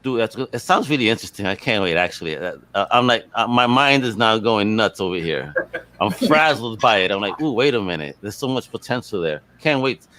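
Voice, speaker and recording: A man's voice: fairly low pitch, lively intonation, a little fast, a bit bright, no room sound. A man hosting a casual podcast interview in an enthusiastic, slangy way. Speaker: podcast host.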